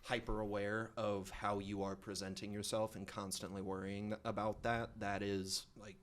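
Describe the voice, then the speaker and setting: Low-voiced man musing hesitantly. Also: little pitch movement, moderate pace, fairly bright tone. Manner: thoughtful, hesitant, with frequent restarts. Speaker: podcast co-host. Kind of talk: casual conversation.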